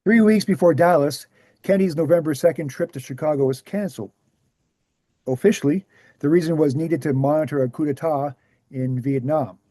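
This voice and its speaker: A man reading the scripted part of a measured author who answers questions at a talk, deliberate and explanatory. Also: on the high side, dark tone, no echo, close to an everyday voice. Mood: grave, explanatory. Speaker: actor in a screenplay table read. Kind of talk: scripted dialogue read aloud.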